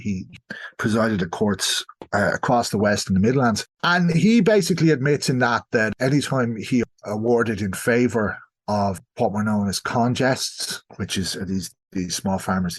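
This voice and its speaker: Irish man explaining history in an interview. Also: medium pitch. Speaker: historian guest.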